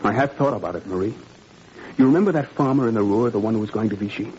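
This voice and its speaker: A man as low-voiced, earnest idealistic father. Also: fast, darkish tone, close to an everyday voice. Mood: urgent, confiding. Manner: probing, earnest, wryly ironic. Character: idealistic French father, troubled, principled, quietly ironic. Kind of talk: 1940s radio drama acting. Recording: muffled, noisy old radio recording.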